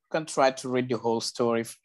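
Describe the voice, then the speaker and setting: A woman's voice: extremely low pitch, fast, a little mellow. A woman with a Vietnamese accent teaching an English class over a video call, patiently repeating and correcting words as students read aloud. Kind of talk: online class, coaching students reading aloud.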